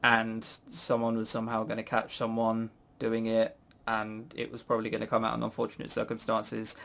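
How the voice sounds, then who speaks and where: A man co-hosting a review show in casual, informal chat, speaking in a halting way. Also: fairly low pitch, monotone, vocal fry, fairly bright tone. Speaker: co-host of a visual novel review show.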